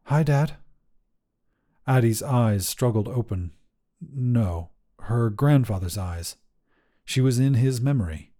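A man's voice: mid-range voice, dark tone. A man narrating scripted short fiction for a podcast.

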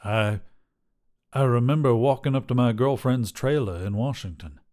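A man's voice: mid-range voice, normal speed, a little mellow, natural voice. A man doing a stammering dazed drifter. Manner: hesitant, stammering.

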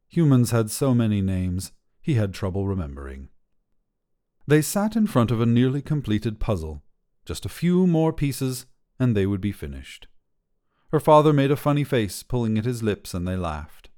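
A man narrates a piece of short fiction in a warm, mellow voice. His delivery is that of a literary storyteller, measured and scripted, at a medium pitch. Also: very animated.

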